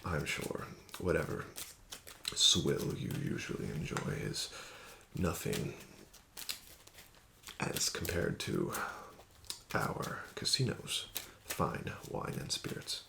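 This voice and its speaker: A man doing an ASMR roleplay as a casino card dealer, talking slowly and softly with smug, teasing patter that slips into whispers. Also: extremely low pitch, sing-song, creaky. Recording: audible background noise.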